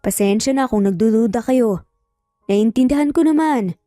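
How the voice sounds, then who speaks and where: A woman with a Filipino accent voicing a gentle, apologetic and restrained man in a narrated story. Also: fairly high pitch, normal speed, dry recording, clean studio sound, faint music behind, close to an everyday voice. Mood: calm, reassuring. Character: calm, apologetic adult male stranger with a secret.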